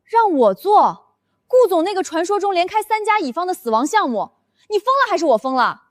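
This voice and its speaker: An actress playing a feisty, sarcastic young woman working as an office assistant in an animated drama. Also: very high-pitched, brisk, dry recording, studio quality. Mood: indignant. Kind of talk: dubbed animated workplace comedy drama.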